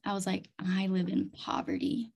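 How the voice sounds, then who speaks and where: A woman's voice: medium pitch, very animated, normal speed, gravelly, a little mellow, slightly rough. Earnest woman telling her personal story. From Chiang Mai, Thailand and North Dakota. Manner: earnest, emphatic, uses "like" and "literally" often. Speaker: interview guest, community development leader and leadership coach.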